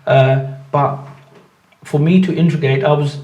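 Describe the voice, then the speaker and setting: An older man, born in Pakistan and raised in England, talks earnestly as an interview guest, stumbling and restarting his sentences. His voice sits a little high for a man. He is picked up from some distance in an echoey room. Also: steady tone, darkish tone. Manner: earnest, halting, frequent restarts and repeated words. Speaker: football club chairman, guest. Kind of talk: casual interview answers. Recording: roomy echo, mic at a distance.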